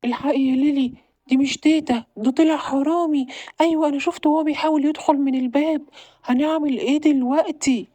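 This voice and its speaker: A woman voicing a young boy who acts the protective big brother, talking fast and bossily. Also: on the high side, expressive, a little mellow, no echo. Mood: alarmed. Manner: bossy, protective. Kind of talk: audio drama.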